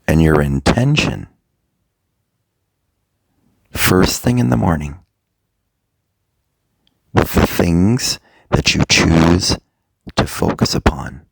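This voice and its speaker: Very deep, gravelly man guiding meditation. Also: animated, no room sound, low-quality recording. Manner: slow, deliberate, with long pauses between short phrases. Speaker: meditation coach. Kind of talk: guided meditation, soft motivational talk.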